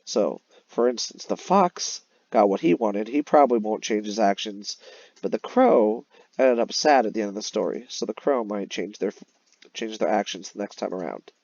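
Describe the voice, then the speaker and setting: A male teacher explains a lesson to young children in a lively, varied voice. He speaks instructively, as if guiding a class through a read-aloud. The recording is dry and somewhat dull. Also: lowish voice, normal speed, gravelly, no echo. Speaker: elementary teacher. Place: voice-over on a screen-recorded slide lesson.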